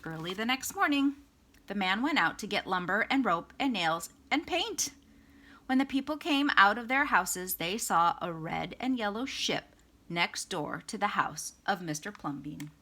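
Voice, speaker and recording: A woman reading a children's picture book aloud as a storytime reader, with expressive, dramatic emphasis. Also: medium pitch, a little slow.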